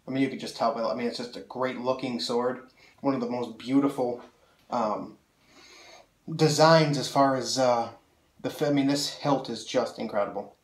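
A man's voice: medium pitch, fairly even intonation, normal speed. A young man with a slightly nasal voice from a cold, enthusiastically reviewing a sword in casual, rambling talk with many fillers. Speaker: sword collector reviewing blades. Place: small room full of swords, indoors. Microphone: camera mic from several feet away. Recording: roomy echo, mic at a distance.

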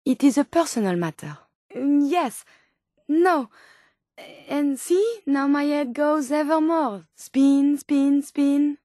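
An actress voicing an earnest, naive robot scientist turned human in a video game, with a French accent and formal, precise phrasing. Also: female voice, high-pitched, expressive, slow pace, warm tone, dry recording, clean studio sound. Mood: thoughtful. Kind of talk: scripted video game character dialogue.

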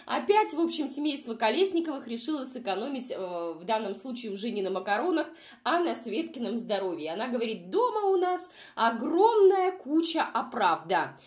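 A woman's voice: on the high side, very animated, normal speed. Sarcastic woman commentator with swooping intonation. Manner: sarcastic, exasperated. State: unwell, says voice is nearly gone. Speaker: vlog reviewer and commentator. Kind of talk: informal mocking commentary over video.

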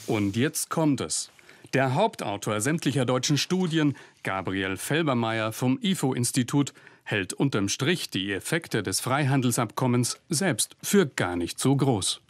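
A man's voice: mid-range voice, animated, a little slow, dry recording. A man reading a scripted investigative news report as a voice-over, with pointed, ironic emphasis. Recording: narration over loud music bed.

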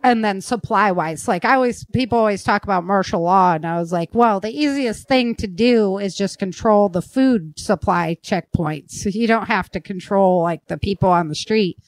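A woman's voice: medium pitch, normal speed, few pauses, no room sound. An American woman hosting a podcast, chatting informally and thinking aloud as she puts questions to a guest. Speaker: podcast host. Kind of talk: casual interview chat.

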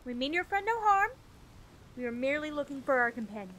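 A woman playing a cautious, level-headed captain of explorers in an audio drama, speaking in a measured, commanding way. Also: high voice, sing-song, fairly bright tone. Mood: placating.

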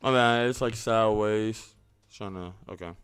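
A man's voice: fairly low pitch, moderate pace, a bit bright, clear, resonant tone, soft background music. A young man hosting a reaction video, talking casually and offhand as he comments on what he is watching. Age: young adult.

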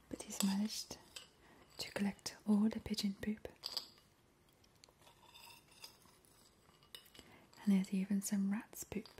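A woman's voice: medium pitch, dark tone, slight background noise, natural voice. A woman voicing a gleeful, ghoulish vampire in an ASMR roleplay, relishing her words and repeating them soothingly. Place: indoor kitchen counter.

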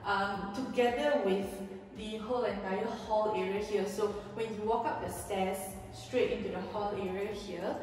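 A woman's voice: medium pitch, fairly even intonation, moderate pace, hardly any pauses, a little mellow, distant mic. A woman from Malaysia talks her way through a house renovation tour, explaining as she goes with plenty of "um"s. Her voice rings around bare, empty rooms with a long tail, and she sits a little away from the camera. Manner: chatty and explanatory, with frequent "um" and gesturing. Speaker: home renovation vlogger. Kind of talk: casual house tour walkthrough. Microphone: handheld camera at a few feet. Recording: echoey empty rooms, voice a bit off-mic.

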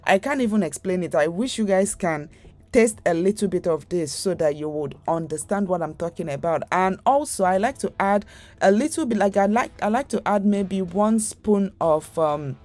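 A woman's voice: mid-range voice, moderate pace, a little mellow. A young woman hosting a home cooking channel, talking viewers through a recipe in a chatty, rambling way with lots of fillers and restarts. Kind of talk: casual recipe voice-over.

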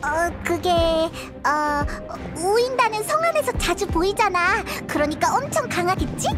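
A woman voicing a small, chatty, childlike fairy companion in a video game dub, with a squeaky voice. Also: expressive, a little slow, over music. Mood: flustered. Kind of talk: dubbed video game dialogue.

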